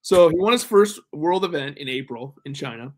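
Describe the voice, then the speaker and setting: A man's voice: on the high side, animated, fairly quick, slight background noise, poor audio. A man giving his picks in casual chat as a panelist on a sports show.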